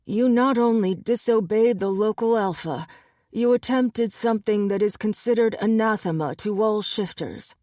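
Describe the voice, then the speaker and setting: A woman voicing a cold, dangerous adult male shapeshifter enforcer in an audiobook, speaking with low threat. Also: moderate pace, dark tone, dry recording, studio quality, lightly acted. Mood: menacing.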